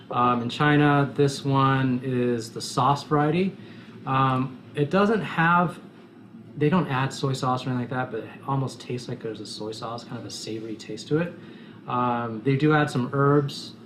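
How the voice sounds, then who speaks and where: American man, medium pitch, bar co-founder, casual explanatory interview, faint background noise. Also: fairly bright tone. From United States.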